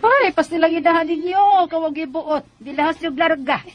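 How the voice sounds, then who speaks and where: High-pitched, scolding Bisaya grandmother. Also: a little fast, lo-fi sound, loud music behind the voice. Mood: matter-of-fact. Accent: Bisaya Filipino. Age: older. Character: blunt, disapproving, gossipy grandmother. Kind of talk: Bisaya radio drama acting.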